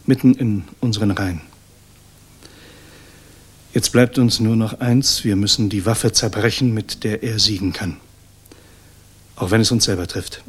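An actor playing a grave, guilt-ridden idealist of the German resistance in a 1960s radio drama, speaking solemnly and deliberately with weighty pauses and a slightly husky voice. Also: male voice, fairly low pitch, fairly even intonation. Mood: solemn, urgent.

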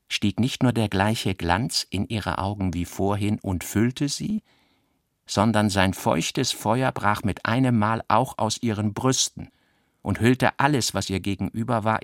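Man, lower-pitched voice, literary narrator, radio play, dry studio acoustic. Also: no room sound.

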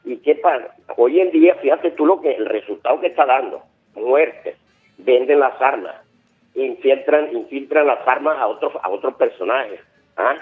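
A middle-aged man with a Venezuelan accent calls in over a thin, tinny phone line. He talks in an insistent, rambling way, circling back and repeating himself. Soft music plays faintly behind him. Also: mid-range voice, animated, normal speed. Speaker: caller. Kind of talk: phone-in radio call.

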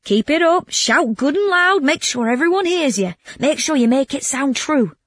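A woman's voice: fairly high pitch, big pitch swings, moderate pace, breathy edge, no echo, clean studio sound, pitched above the performer's own voice, full character voice. A woman voicing a cunning male trickster in a storybook, goading and mock-doubting. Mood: sly, goading. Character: sly, mocking male prankster, egging on his victim.

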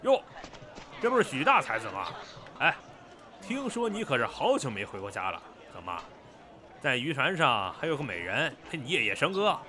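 A man's voice: medium pitch, huge pitch swings, normal speed, bright, forceful tone. An actor playing a crude, foul-mouthed townsman who taunts and mocks in an audio drama. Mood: mocking. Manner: mocking, taunting, swearing.

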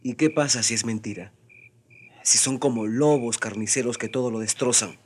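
An actor playing a wary, reluctant young chief's son in a radio drama, asking hurried, complaining questions in a husky voice. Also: male voice, mid-range voice, natural voice. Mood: startled, reluctant.

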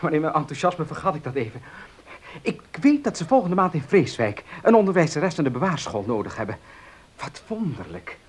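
Eager, stammering gentleman, very lively pitch. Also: fairly quick, slightly rough. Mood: excited, helpful. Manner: stammering, courteous, formal. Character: courteous, eager, reclusive gentleman, kindly and helpful. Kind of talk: Dutch radio play acting.

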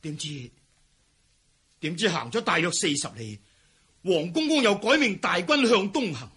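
A man's voice: high voice, expressive, a little slow, fairly bright tone, slightly rough, full character voice. A man playing a cowardly, self-serving fleeing palace eunuch in a historical radio drama, stammering and sighing as he talks. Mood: weary recounting.

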